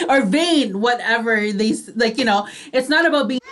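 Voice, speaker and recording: A woman's voice: on the high side, lively intonation, a little slow, a bit bright. A woman co-hosting a radio talk show in a casual, chatty and giggly way.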